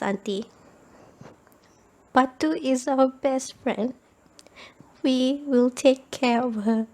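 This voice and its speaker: Compassionate young female friend, acted by a woman, slightly high, mellow voice, radio drama. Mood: consoling.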